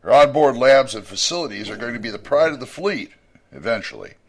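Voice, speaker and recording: A man voicing a starship crew member in an audio drama, reading several measured takes of each line. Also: mid-range voice, animated. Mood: proud.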